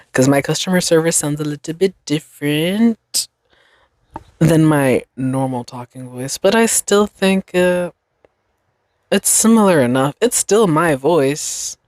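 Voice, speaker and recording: Young woman, solo podcast host, slow and meandering delivery, dry close mic. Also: deep voice, animated, darkish tone, no echo. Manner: slow, reflective, rambling with fillers. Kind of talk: casual solo podcast monologue.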